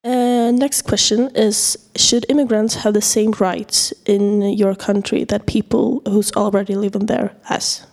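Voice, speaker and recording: A woman's voice: medium pitch, flat delivery, measured pace, gravelly, hardly any pauses, a little mellow. Teen woman hosting a student podcast. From Sweden. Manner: friendly, leads the questions. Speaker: podcast host. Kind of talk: casual student podcast discussion.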